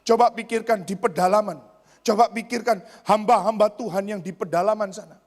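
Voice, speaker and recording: A man's voice: sits high, steady tone, fairly quick. A man preaching a sermon as a pastor, emphatic, repeating phrases and putting rhetorical questions to his listeners. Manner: swings between raised exclamations and hushed asides; addresses congregation with rhetorical questions. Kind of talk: church sermon. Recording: long hall reverb.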